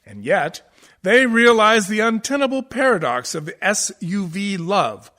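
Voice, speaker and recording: An actor playing a pompous, erudite scholar of musical theater who lectures pretentiously, in a comic radio play. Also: male voice, high voice, big pitch swings, measured pace, bright, forceful tone, full character voice. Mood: smugly lecturing.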